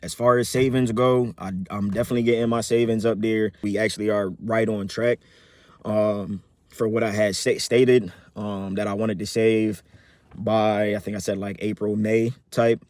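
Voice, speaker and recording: Man, 30s, casual vlog update, lowish voice, rambling with ums and restarts, upbeat, dry close sound. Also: normal speed, dry recording. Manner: rambling, many fillers and restarts; relaxed, upbeat. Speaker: vlogger. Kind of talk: casual personal update to camera.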